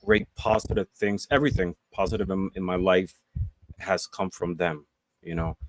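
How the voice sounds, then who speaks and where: A man's voice: low-pitched, expressive, moderate pace, a little hiss or hum, poor audio. A man, a guest telling his story in a casual interview, earnest and full of fillers and restarts. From Puerto Rico.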